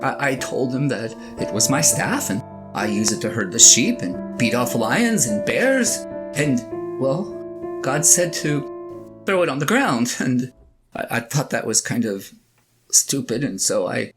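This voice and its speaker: A woman's voice: very deep voice, sing-song, measured pace, exaggerated voice, low-quality recording. A woman playing a flustered, self-doubting shepherd husband in an audio drama, stammering earnestly as the character confides in a spouse. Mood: sheepish, puzzled. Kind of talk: one-sided audio drama monologue, conversational and comic. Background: plucked guitar music.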